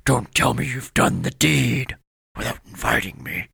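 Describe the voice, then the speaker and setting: Raspy, gravelly little pig demon. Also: male voice, medium pitch, big pitch swings, measured pace, full character voice. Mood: belligerent. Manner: pompous, shouting, foul-mouthed. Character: crude bombastic little pig demon demanding worship. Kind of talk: comedy podcast character acting.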